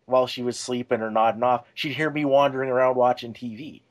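A man's voice: mid-range voice, fairly even intonation, quick pace. Conversational man with a mid-range voice. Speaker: podcast co-host. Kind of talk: casual podcast chat.

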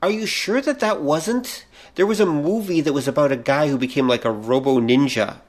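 A man's voice: fairly high pitch, moderate pace. A man co-hosting a podcast, explaining films in a casual, opinionated way. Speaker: podcast co-host. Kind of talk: casual film chat.

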